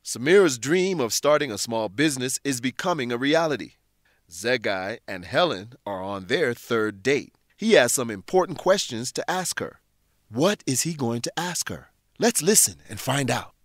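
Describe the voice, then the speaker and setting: A man narrating a radio drama for English learners in a measured, clearly enunciated scripted style. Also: medium pitch, animated.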